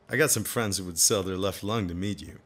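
A man's voice: sits low, normal speed, heavy background noise, close to an everyday voice. An actor playing an easygoing, eager man with a casual, folksy manner in a radio drama. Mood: amiable, eager. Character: Jimmy, easygoing, eager man visiting a friend. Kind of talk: naturalistic radio drama dialogue.

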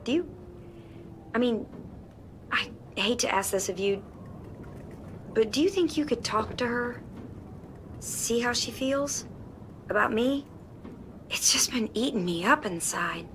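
A woman's voice: a little high, expressive, measured pace, long pauses, a bit bright, a little hiss or hum. A woman playing an anxious young survivor in a game drama, speaking hesitantly and apologetically. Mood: hesitant, pleading. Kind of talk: naturalistic video game drama acting.

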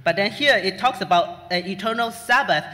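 A man with a high-pitched, bright and forceful voice interprets a sermon. His delivery comes in measured, separate phrases, one after another. The sound carries the reverb of a hall. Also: expressive.

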